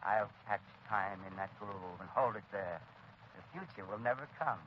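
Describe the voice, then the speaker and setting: An actor in 1930s radio style playing a deranged, bitter inventor bent on murder, declaiming grandly and emphatically. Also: male voice, fairly low pitch, normal speed, slightly rough. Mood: gloating. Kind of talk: 1930s radio drama acting. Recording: dull old 1930s radio broadcast.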